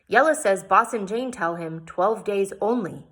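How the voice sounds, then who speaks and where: A woman's voice: mid-range voice, animated, measured pace, fairly bright tone, breathy edge. Woman reading as a guide in broken English. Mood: serious. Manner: simple broken phrasing, serious. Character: cheerful native guide speaking broken English.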